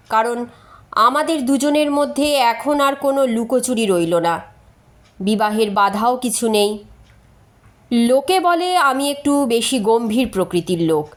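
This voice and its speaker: A woman narrator voicing an earnest, overly serious educated man reading his formal letter in a storybook. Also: fairly high pitch.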